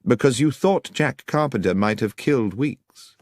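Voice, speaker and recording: Man doing a gentleman detective in an audiobook, brisk n probing, very precise, lively pitch, dry sound. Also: no room sound, close to an everyday voice. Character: brisk, incisive male gentleman detective, coolly authoritative. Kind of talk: audiobook character voice.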